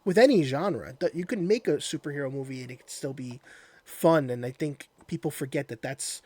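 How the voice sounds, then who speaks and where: A man's voice: fairly high pitch, fairly quick, dark tone. A man hosting a casual interview, talking in an agreeable, enthusiastic fan's manner. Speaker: interview host. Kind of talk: casual chat.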